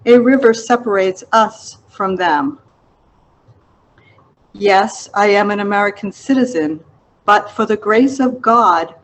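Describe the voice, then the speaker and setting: Woman author reading her own memoir, really slow n careful, kinda reflective, mid pitch. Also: low-quality recording. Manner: measured, deliberate, reflective. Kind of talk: literary memoir narration, read aloud.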